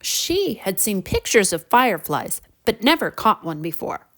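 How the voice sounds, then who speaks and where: Female narrator for children's bedtime tales, unhurried, animated, deliberate. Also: mid-range voice. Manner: expressive, deliberate storybook delivery. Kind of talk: scripted children's bedtime storytelling.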